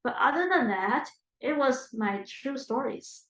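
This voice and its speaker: 30s woman from china, chinese first language, thinks out loud in an interview, lots of ums, echoey room. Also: high voice, lively intonation, unhurried. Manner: reflective, thinks aloud with fillers and restarts. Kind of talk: oral history interview.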